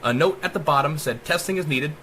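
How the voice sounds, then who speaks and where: A man narrating a dark rhyming story as a dramatic audiobook, with theatrical, emphatic rhythm. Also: a little high, a little fast, slight background noise.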